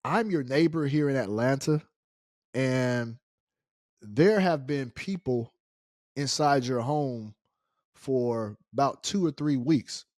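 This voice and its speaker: A man's voice: medium pitch, takes it slowly, pauses often, a little mellow, no echo, clean studio sound. A middle-aged American man telling a personal story casually and colloquially, acting out the dialogue as he goes. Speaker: storyteller, show host.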